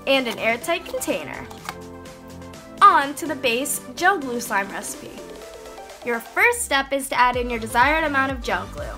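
A young woman getting over a cold, hosting a slime-making tutorial in a bubbly, enthusiastic voice-over, explaining each step. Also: high-pitched. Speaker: slime-making channel host. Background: background music.

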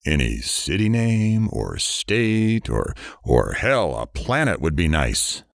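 A man's voice: sits low, big pitch swings, takes it slowly, gravelly, no echo, clean studio sound, full character voice. An actor playing a brash, sarcastic morning radio DJ with irreverent showman banter in an audio drama. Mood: teasing. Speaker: radio DJ host.

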